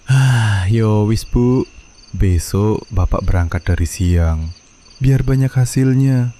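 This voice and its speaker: A man voicing a patient, poor middle-aged satay seller, meek and soft-spoken. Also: on the low side, slow, slight background noise.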